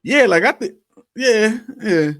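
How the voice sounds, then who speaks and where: A man's voice: high-pitched, expressive, slow, fairly bright tone, no echo. Casual man, close dry sound. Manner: informal, slangy, swears casually. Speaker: podcast co-host. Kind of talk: casual banter and beer tasting chat.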